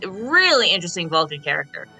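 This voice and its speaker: A woman's voice: on the low side, big pitch swings, quick pace, bright, forceful tone, faint music behind, low-quality recording. A woman chatting casually as a panelist in a radio fan discussion.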